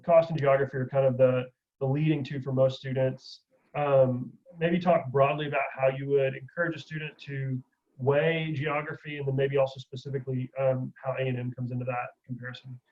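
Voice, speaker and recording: A man's voice: medium pitch, little pitch movement, brisk. A man interviewing a dean, asking hesitant questions with many fillers. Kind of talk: conversational interview. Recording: dull lo-fi sound.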